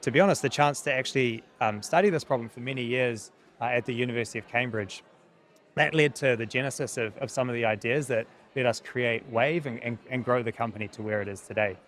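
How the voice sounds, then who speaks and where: A man in his thirties with a New Zealand accent answers interview questions earnestly, often pausing and repeating words as he thinks. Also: medium pitch, fairly bright tone. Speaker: technology company founder, interview guest.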